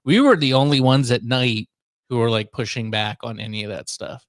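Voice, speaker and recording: A man's voice: mid-range voice, moderate pace, no echo, clean studio sound. An American man hosting a casual talk radio show, speaking in an easygoing, informal way.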